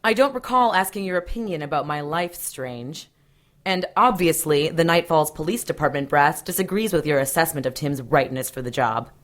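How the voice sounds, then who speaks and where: A woman's voice: deep voice, animated, normal speed. A woman playing a cool, capable police detective in an audio drama, matter-of-fact with a dry edge. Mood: sardonic, defensive.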